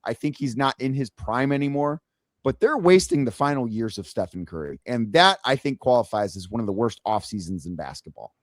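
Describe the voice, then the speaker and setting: A man hosts a sports talk show in a hoarse, raspy voice, at a middle pitch. He is opinionated and drives his points home with rhetorical questions. The sound is close and dry, with no room around it. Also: animated, fairly quick, no echo. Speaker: podcast host.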